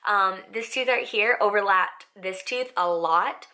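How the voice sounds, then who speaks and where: A young woman with a raspy voice, chatting casually to camera in a vlog, full of "like" and "um". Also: mid-range voice, measured pace, pushed and bright. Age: young adult. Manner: chatty, filler-heavy with frequent "like" and "um". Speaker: vlogger. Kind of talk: casual facts-about-me vlog.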